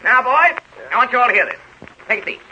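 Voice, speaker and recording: An actor playing a blustery, scatterbrained Hollywood studio boss in a 1930s radio comedy, bossy, stammering and excitable. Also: male voice, extremely high pitch, fast talker, slight background noise, heavily acted. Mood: self-important, rambling. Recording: dull vintage 1930s radio recording.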